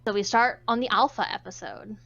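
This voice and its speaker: A woman with a fairly high voice reads story narration aloud to friends, breaking off for joking asides. The sound is slightly dull and lo-fi, like a voice chat. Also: brisk, vocal fry, fairly bright tone. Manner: playful, jokey asides. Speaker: streamer reading a visual novel aloud. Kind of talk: casual group chat mixed with read-aloud narration.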